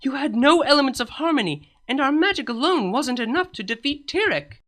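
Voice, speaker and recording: A woman's voice: sits high, animated, a little fast, voice pushed up. A woman voicing a cheerful, zealous young female pony princess in a storybook comedy, with an eager, emphatic manner. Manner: bright, eager, emphatic delivery.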